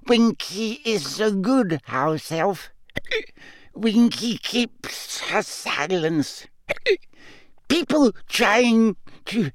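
A man voicing a tiny, drunk, tearful female house-elf who talks in squeaky, slurred, pleading bursts. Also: fairly bright tone, no room sound, studio quality, full character voice.

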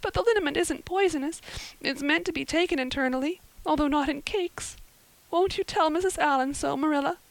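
A woman's voice: on the high side, animated, fairly quick, pitched above the performer's own voice, poor audio. A woman voicing a dramatic, talkative young orphan girl in a storybook, pleading earnestly and breathlessly. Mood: sobbing despair.

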